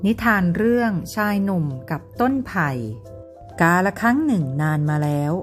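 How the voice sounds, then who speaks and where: A woman narrating a bedtime tale for young children, her voice rising and falling in a lively sing-song. Faint music plays behind her. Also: mid-range voice, moderate pace. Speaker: storyteller and mother.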